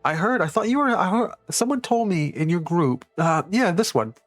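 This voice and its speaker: A man's voice: high-pitched, animated, moderate pace, dry recording, soft background music, pitched above the performer's own voice. A man game master voicing a cheerful, doting middle-aged father who gushes and rambles. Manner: gushing, rambling, fussy.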